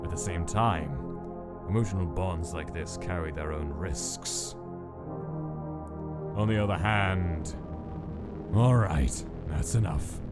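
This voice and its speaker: A man voicing a detached male scientist who narrates his observations in a clinical, measured way. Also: low voice, over loud music. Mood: calculating. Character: cold calculating male scientist studying his subjects. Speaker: narrator of a comic dub. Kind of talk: inner-monologue narration.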